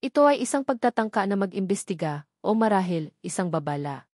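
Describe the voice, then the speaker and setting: Female storyteller, Filipino accent, medium pitch, dramatic scripted narration, dry recording. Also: very animated, a little fast, a little mellow, no room sound.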